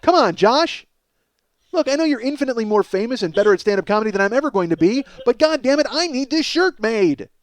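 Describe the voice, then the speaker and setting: Male American comic, high voice, exasperated self-mocking storyteller, loose profane podcast monologue. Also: animated, quick pace. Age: middle-aged. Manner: exasperated, self-mocking, addresses listeners as folks. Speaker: comedian and podcast host. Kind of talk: ranting comedic monologue, casual and profane.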